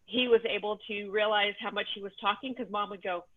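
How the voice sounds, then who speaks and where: A woman's voice: mid-range voice, fairly even intonation, a little fast. A woman from Texas shares a teaching story in a chatty, filler-heavy way. Her voice comes through a tinny, phone-like video call line. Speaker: mobility instructor. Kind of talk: casual anecdote on video call.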